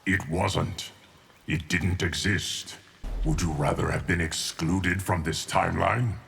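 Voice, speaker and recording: An actor playing a calm, all-knowing timeless guardian in a fighting game, speaking in a measured, formal manner. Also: male voice, deep voice, vocal fry, recorded from a distance, a little hiss or hum, full character voice. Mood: calm, stoic. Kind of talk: dramatic video game dialogue.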